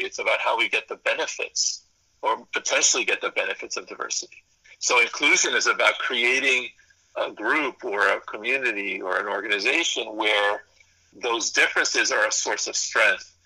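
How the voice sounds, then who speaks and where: A man's voice: fairly low pitch, moderate pace, sharp tone, slightly rough, poor audio. A man whose first language is Spanish, a psychology professor and consultant, explaining ideas in a thoughtful interview, stacking up lists and correcting himself as he goes. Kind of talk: interview answers. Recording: radio interview.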